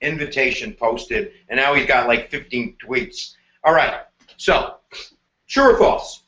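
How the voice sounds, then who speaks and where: A man's voice: medium pitch, slow pace, pushed and bright. A middle-aged man teaching a history review on a live stream, playful and slangy. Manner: playful and slangy, jumping into goofy voices. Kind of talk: energetic live-stream lesson. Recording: dull echoey room sound.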